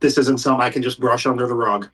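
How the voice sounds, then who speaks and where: A man narrating a tabletop game as game master, improvising in a conversational, halting way and speaking for characters in plain speech. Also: medium pitch, fairly even intonation, a bit bright.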